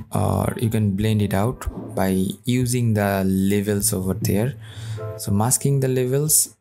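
A man talking slowly through a software tutorial over a screen recording, thinking aloud as he works, with non-native phrasing. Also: on the low side, vocal fry. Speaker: tutorial host.

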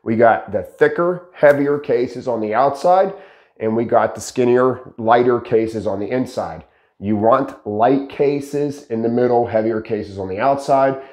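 A man in his thirties talks straight to camera on a lapel mic, explaining step by step and firing rhetorical questions at the viewer. His voice is a little raspy from shouting, sits at a middle pitch, and carries a roomy echo from the room. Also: unhurried. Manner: animated, gestures a lot, asks rhetorical questions. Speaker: host teaching pallet building. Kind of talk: casual instructional talk to camera. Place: dark studio with green screen, indoors.

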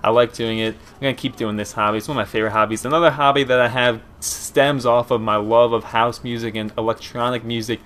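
A man's voice: mid-range voice, fairly even intonation, quick pace, hardly any pauses, fairly bright tone. A young man in his late teens with a Philadelphia and Eastern Pennsylvania accent, chatting casually through a Q and A over gameplay, rambling and easygoing with many fillers. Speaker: gaming commentator. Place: small room at home, indoors.

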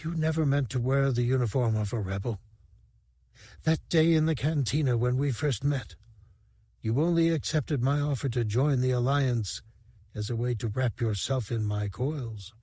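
A man reading the lines of a dying female serpent-like alien warrior, speaking softly, slowly and soothingly. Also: mid-range voice, breathy edge, no echo, studio quality, close to an everyday voice. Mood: calm tender. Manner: soft, slow, hushed and soothing.

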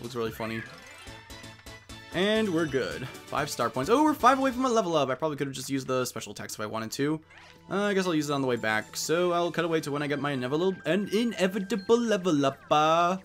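A man's voice: on the high side, very animated, a little fast, a bit bright. A young man giving casual, wry commentary while playing a video game and reading the on-screen text aloud. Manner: chatty, wry asides, reads game text aloud with put-on voices. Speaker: let's play host. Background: faint game music.

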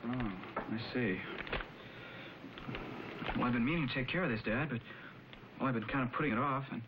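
Actor as sheepish young American son, noisy soundtrack. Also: male voice, medium pitch, moderate pace, close to an everyday voice. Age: young adult. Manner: hesitant, boyish. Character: sheepish, casual teenage son. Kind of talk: 1950s television drama acting. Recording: muffled, noisy 1950s television soundtrack.

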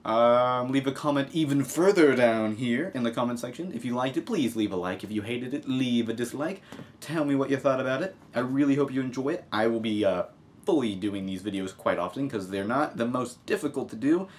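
Animated young American man, sarcastic commentary. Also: mid-range voice, a little fast. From Texas, United States. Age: young adult. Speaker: host of a comedic news-reading video. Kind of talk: casual commentary on read-out news. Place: small room at a home desk, indoors.

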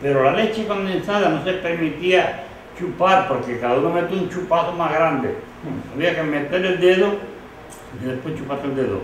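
An older man born in Galicia recalls his years as a rebel soldier, tagging his sentences with a questioning "no?". His voice sits a little high. The sound is very roomy and picked up from across the room. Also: normal speed, slight background noise. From Galicia, Spain. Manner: reflective, with many "¿no?" tags. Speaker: former rebel soldier interviewed. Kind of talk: recollecting in an interview. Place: living room, indoors. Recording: very echoey room, mic far off.

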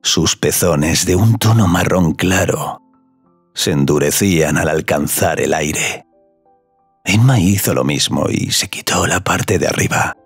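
A man's voice: deep voice, unhurried, breathy edge, dry recording, faint music behind. A man narrating a scripted audio story.

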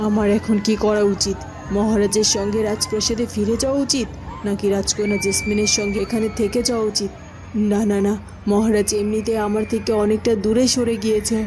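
A woman's voice: medium pitch, flat delivery, fairly quick, background noise, lightly acted. A woman voicing a neglected, scheming queen in a fairy-tale cartoon, full of reproach. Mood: calculating, deferential.

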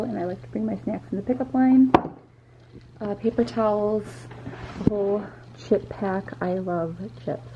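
Woman, 30s, mom vlogger, chatty and confiding, vocal fry, faint dishwasher hum, home kitchen. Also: medium pitch, dark tone, highs cut off. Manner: chatty and confiding, talks straight to viewers, many fillers. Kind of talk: casual chatty vlog voice-over.

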